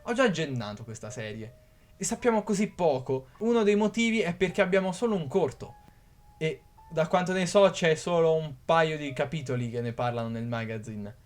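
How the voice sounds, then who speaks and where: A young man in his twenties with a slightly higher voice reads a prepared explainer script aloud. He stumbles now and then over a word. Soft music plays faintly underneath him. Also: animated, moderate pace. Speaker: YouTube narrator.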